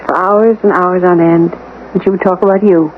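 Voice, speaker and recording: A woman acts out a brisk, self-possessed housekeeper, formal and quietly insistent with her gentleman employer. She speaks in the theatrical style of seventies radio drama. The sound is thin and tinny, with a light layer of noise. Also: fairly low pitch, fairly even intonation, lightly acted. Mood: wistful, flattering. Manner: poised, formal and persistent. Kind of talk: 1970s radio drama acting. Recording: tinny, noisy old radio broadcast.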